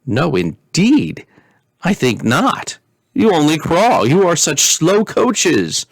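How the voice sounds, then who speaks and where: A man's voice: on the high side, wildly swooping pitch, slow, no echo, pitched above the performer's own voice, heavily acted. A man voicing a playful, flippant teenage princess in a storybook, airy and teasing.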